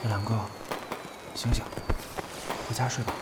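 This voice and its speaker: Man playing a soft, lowish-voiced shy junior, echoey. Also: little pitch movement, moderate pace, very noisy, lightly acted. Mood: shy, considerate. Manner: soft, hesitant, deferential. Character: polite, shy, devoted younger male junior in showbiz. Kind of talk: Chinese audio drama acting. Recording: roomy echo, music behind the voice.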